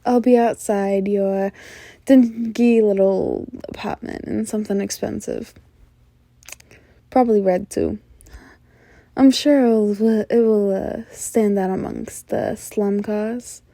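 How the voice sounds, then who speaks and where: Woman acting a mellow, teasing female mafia boss. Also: medium pitch, gravelly, no room sound, studio quality. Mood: playful, bossy. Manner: coy, teasing, drawn-out hums and knowing laughs. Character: flirtatious, teasing female mafia boss, sly and self-assured. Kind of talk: ASMR roleplay acting.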